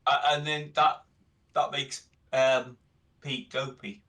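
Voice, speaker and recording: A man's voice: mid-range voice, little pitch movement, takes it slowly, a bit bright. A man chatting casually as a player in a tabletop game. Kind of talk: casual chat.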